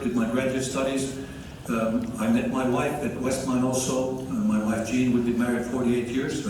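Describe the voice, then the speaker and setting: An older man from Finland speaks as a debate candidate, folksy and wry, often stopping to restart. His voice sits far from the mic in a very echoey hall with clear background noise. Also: medium pitch. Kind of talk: debate opening statement.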